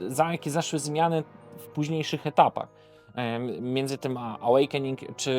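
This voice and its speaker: A Polish man presenting an informal, unscripted board game video review, hesitating and often correcting himself as he goes. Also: a little high.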